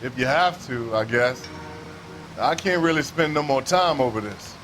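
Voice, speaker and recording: An actor playing a plain-spoken, folksy railway worker in a crime game, colloquial and matter-of-fact. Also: male voice, mid-range voice, expressive, normal speed, background noise, lightly acted. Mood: curt, impatient. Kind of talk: cinematic game acting.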